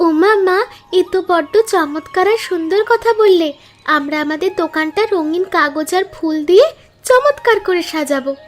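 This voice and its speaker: A woman's voice: extremely high pitch, expressive, moderate pace. A woman voicing an eager, optimistic young village daughter in a cartoon, enthusiastic and reassuring. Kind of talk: cartoon story dialogue.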